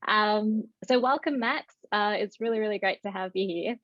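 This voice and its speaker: A woman's voice: medium pitch, normal speed, fairly bright tone, no echo. A woman hosting a webinar, introducing the guest and explaining the session with a few fillers. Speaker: webinar host. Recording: dry, dull lo-fi sound.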